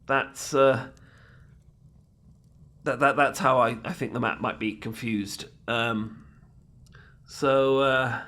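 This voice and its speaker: A man's voice: medium pitch, fairly even intonation, slow, long pauses, bright, forceful tone. A man with a British accent playing a bumbling, timid, anxiously optimistic knight in a comedic fantasy audio roleplay, stammering and apologetic. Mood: sheepish, nervous. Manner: stammering, hesitant, apologetic, politely flustered.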